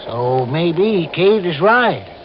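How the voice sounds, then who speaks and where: Nervous country sidekick, high voice, folksy, old radio show, muffled and noisy. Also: male voice, very animated, drawn-out words, full character voice. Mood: hesitant, agreeing. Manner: folksy, plain-spoken, deferential, hesitant. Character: excitable, anxious, folksy rural male sidekick. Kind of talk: 1950s radio drama acting.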